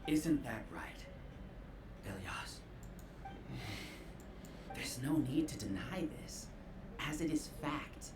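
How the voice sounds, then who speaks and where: An actor playing a smug, calculating cult-leader scientist in a game, theatrical and condescending, with bitter grandiosity. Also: male voice, medium pitch, animated, slow, long pauses, background noise. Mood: persuasive, grandiose. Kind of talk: villain monologue in a game. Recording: roomy echo, music behind.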